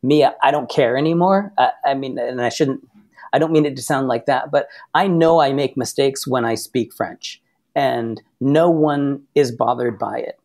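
A talkative man from Massachusetts, interviewed as a podcast guest. He tells long stories in an easygoing way, his voice sitting at a mid pitch. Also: normal speed, darkish tone. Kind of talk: casual interview chat.

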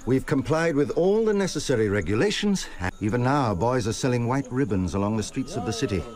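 An actor playing a wily, eager merchant in a radio drama, courteous and theatrical. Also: male voice, medium pitch, expressive, brisk, a crowd in the background, natural voice. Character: wily, eager merchant, politely needling proud Romans.

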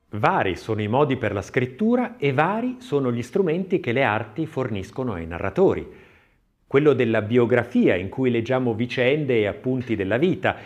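A man reading a scripted literary text as an audiobook narrator, expressive and measured, with deliberate phrasing. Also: mid-range voice. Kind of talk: scripted audiobook narration of an art-history essay, with recited verse.

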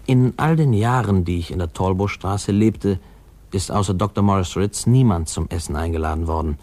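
An actor playing a grown man narrating memories of his boyhood in a 1950s German radio play, in a measured, wry way. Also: low voice, darkish tone, no echo. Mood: wry, amused. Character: adult man wryly recalling his small-town boyhood.

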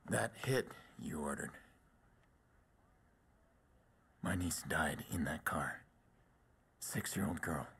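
An actor playing a grim, vengeful vigilante in a video game, speaking with deliberate menace. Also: male voice, rumbling low, lively intonation, gravelly, fairly bright tone, slightly rough, exaggerated voice. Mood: bitter, controlled. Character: grim vengeful male vigilante, grieving uncle. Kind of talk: video game cutscene acting.

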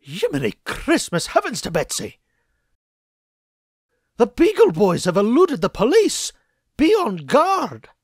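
A man's voice: extremely high pitch, wildly swooping pitch, a little slow, long pauses, husky, dry recording, clean studio sound, full character voice. A man voicing a cranky, miserly old male duck in a cartoon comic dub, scolding in fussy, old-timey exclamations. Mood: agitated, bossy.

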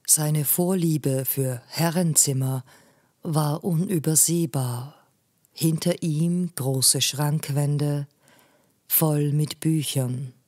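A woman narrating a literary story in a measured way, phrase by phrase. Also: low-pitched, fairly even intonation, mellow, no room sound, clean studio sound. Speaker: audiobook narrator.

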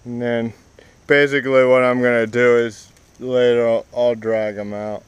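A young man talks through a job out in a wooded yard, stopping and restarting often with plenty of ums and uhs. His voice is very smooth and clear and stays flat in pitch. The sound is dry and close, picked up by an action camera set on the ground. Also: dry recording, dull audio. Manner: halting, many fillers and restarts. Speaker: vlogger. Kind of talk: casual explanation while working.

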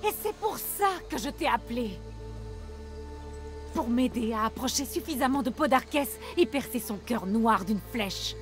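Earnest rebel woman, slightly high voice. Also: animated, measured pace, soft background music. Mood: resolute. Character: Kyra, embattled young female rebel leader, earnest, vengeful. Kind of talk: dubbed video game drama.

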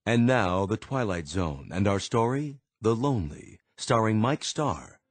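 A man's voice: on the low side, lively intonation, unhurried. A man announcing a radio drama in a dramatic scripted style.

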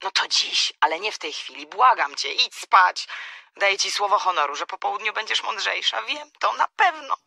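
A sleepy, exasperated woman in a radio play, pleading with her friend. Her voice is very sharp and raspy, with lively swings in pitch. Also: moderate pace, no echo, exaggerated voice. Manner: incredulous, pleading. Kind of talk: radio drama acting.

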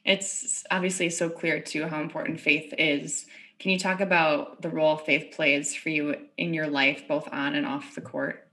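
A young woman hosting an interview over a video call, asking calm, measured questions. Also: deep voice. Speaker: interview host. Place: room with plain grey wall indoors. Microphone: video call webcam.

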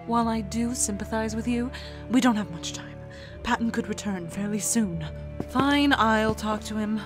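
A woman's voice: on the high side, normal speed, over music, natural voice. A woman voicing a sarcastic, scheming male trickster in a dramatic comic dub, with a dry, biting manner. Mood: dry, impatient.

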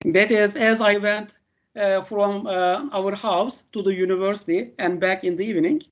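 Older Turkish professor, non-native English, lecturing over a video call, halting delivery full of fillers, dull lo-fi sound. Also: male voice, sits high, steady tone. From Turkey. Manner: halting, many "uh" fillers and "okay" checks. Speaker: university professor. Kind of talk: online lecture.

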